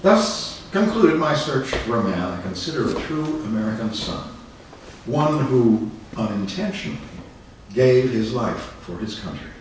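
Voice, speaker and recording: A man giving a dramatic reading of a written memoir at a public program, with measured, deliberate phrasing. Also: medium pitch, big pitch swings, a little hiss or hum. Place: indoor arts center venue. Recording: roomy echoing hall, distant mic, faint music.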